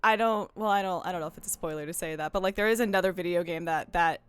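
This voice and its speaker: A woman's voice: lowish voice, quick pace, clean tone, no echo, soft background music. A woman talking casually about video games as a stream host, digressive and often interrupting herself. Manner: rambling, digressive, full of self-interruptions. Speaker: host of a game discussion stream.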